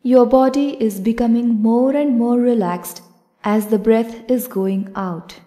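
Casting: female yoga nidra guide, calm and measured, unhurried delivery, soft-edged relaxed tone. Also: fairly high pitch. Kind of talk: guided meditation narration.

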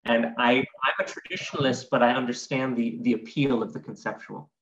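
A man's voice: medium pitch, fairly even intonation, brisk, a bit bright, a little hiss or hum. A man in his forties explains things articulately and didactically, as a guest in an interview. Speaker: guest art historian. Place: home room with bookshelves. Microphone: computer microphone on video call. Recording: dull, echoey video call audio.

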